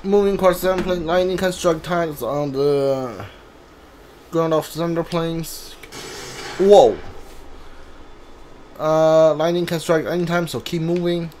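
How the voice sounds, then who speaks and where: A man's voice: a little high, fairly even intonation, a little slow, pauses often, a little mellow, a little hiss or hum. A man giving casual video game commentary, thinking aloud and reading text from the screen. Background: game music.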